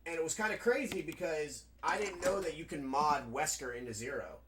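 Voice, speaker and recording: A man with a raspy, nasal New York accent, chatting casually as a game streamer while he plays. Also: on the high side, brisk, noisy background. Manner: rambling, chatty, full of fillers. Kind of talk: casual gameplay commentary.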